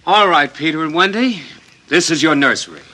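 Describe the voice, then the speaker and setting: A suburban husband and father from an old radio play. His voice is bright and pressing, and it swings widely as he moves between easy assurance and protest. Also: a little high, expressive, fairly quick. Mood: proud, breezy. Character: well-off suburban father and husband, indulgent, defensive, troubled. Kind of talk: old-time radio drama acting.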